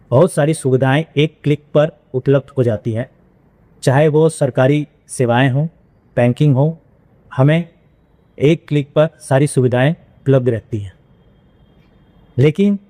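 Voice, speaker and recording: A man, a senior police officer, giving a formal, deliberate public awareness address with pauses between phrases. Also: mid-range voice, warm tone.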